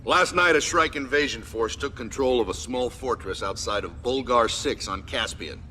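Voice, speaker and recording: An actor playing a stern military commander in a video game, briefing troops in a clipped, formal, authoritative manner. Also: male voice, medium pitch, moderate pace, sharp tone, slight background noise, natural voice. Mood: commanding.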